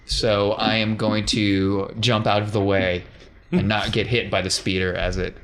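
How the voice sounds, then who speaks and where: Lower-voiced man running a tabletop game. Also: little pitch movement, unhurried, fairly bright tone, slight background noise. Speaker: game master. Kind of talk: narrating a tabletop game, casual improvised.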